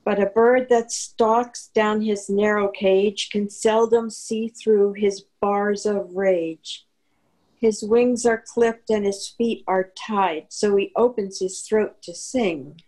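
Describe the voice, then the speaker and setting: A woman reading a poem aloud in a measured recital style at a group reading. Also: mid-range voice, steady tone, darkish tone, low-quality recording. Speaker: poetry reader at a group reading.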